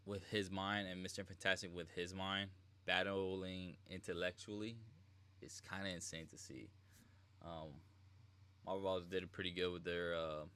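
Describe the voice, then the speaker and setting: A man's voice: deep voice, pushed and bright, smooth. A man giving casual live reaction commentary as a game streamer, slangy and informal.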